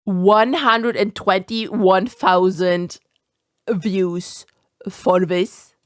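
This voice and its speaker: A woman's voice: medium pitch, fairly even intonation, drawn-out words, no room sound, studio quality. A young woman with a German accent reacting to a video in casual commentary, incredulous and swearing often.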